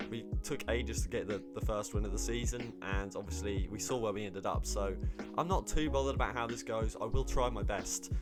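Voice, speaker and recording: A man, slightly hoarse, rambling casually about his football game squad with lots of ums and restarts. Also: on the low side. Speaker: gaming YouTuber.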